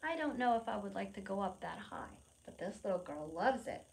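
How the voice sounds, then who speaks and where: A woman presenting a preschool storytime, reading aloud and explaining in simple, expressive phrases with questions for young children. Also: mid-range voice, fairly quick, gravelly, slight background noise, poor audio.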